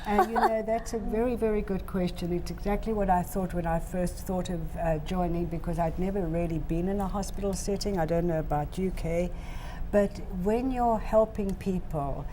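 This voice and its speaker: A woman's voice: lowish voice, moderate pace, noisy background. An older woman from South Africa with a South African accent, a hospital volunteer chatting as a guest with some fillers and hesitations. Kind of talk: casual talk show interview.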